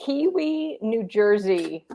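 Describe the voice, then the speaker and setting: A woman from the United States with an American accent tinged with Australian, chatting as a podcast guest in a relaxed, talkative way. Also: fairly high pitch, slow. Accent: American with a slight Australian tinge. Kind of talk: casual chat.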